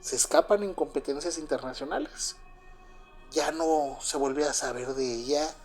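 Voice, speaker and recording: A Cuban-born man with a Mexican Spanish accent who was raised in Mexico, telling a first-person story in a halting, confiding way full of fillers and restarts. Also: a little high, faint music behind. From Cuba, raised in Mexico. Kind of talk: first-person spoken recollection.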